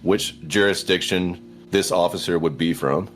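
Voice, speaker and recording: A man's voice: deep voice, a little slow. A man chatting casually as a player in a tabletop roleplaying game. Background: faint background music.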